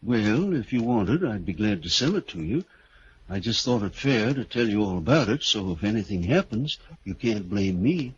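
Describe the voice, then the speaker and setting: An actor playing a grave house seller in a 1940s radio drama, telling an ominous story in a measured, halting way. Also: male voice, on the low side, big pitch swings. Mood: puzzled, cautionary. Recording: dull, faintly noisy old radio recording.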